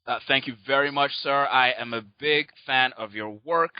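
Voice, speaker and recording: A male podcast host with an upbeat, showy delivery, building up his guest like an announcer. His voice sits at a middle pitch and sounds dry and a little dull, as if the recording were lo-fi. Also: normal speed, clear, resonant tone, dry recording. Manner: upbeat, showman-like introductions. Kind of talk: enthusiastic podcast interview.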